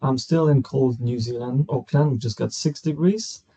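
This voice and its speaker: A man in his forties hosts a casual chat over a video call. His voice is slightly husky at a middle pitch, and he speaks in a friendly, upbeat way. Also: normal speed, mellow. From Poland. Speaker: podcast host.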